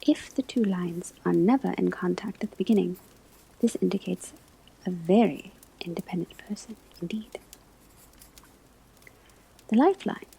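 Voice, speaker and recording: A woman playing a patient, formal witch-school professor in an ASMR classroom roleplay, whispering a measured, precise lecture. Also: mid-range voice, gravelly, warm tone, slightly rough, exaggerated voice, poor audio. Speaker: divination teacher in a roleplay.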